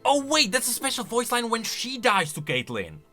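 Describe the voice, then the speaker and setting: A man's voice: very high-pitched, huge pitch swings, normal speed, sharp tone, soft background music. A man giving casual, exclamatory reaction commentary, thinking aloud as a video creator.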